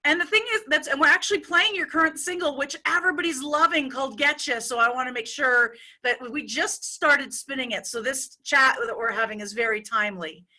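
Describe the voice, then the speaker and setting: A woman's voice: high-pitched, fairly quick, hardly any pauses, pushed and bright. A middle-aged woman from Ontario hosting a radio interview, enthusiastic and full of praise for her guest. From Ontario, Canada. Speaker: radio host.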